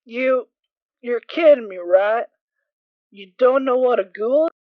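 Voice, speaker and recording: A woman's voice: fairly high pitch, slow pace, no room sound. A woman playing a concerned, caring unicorn mare in an amateur audio drama, earnest and hesitant as she explains. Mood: incredulous. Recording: tinny, band-limited dry home recording.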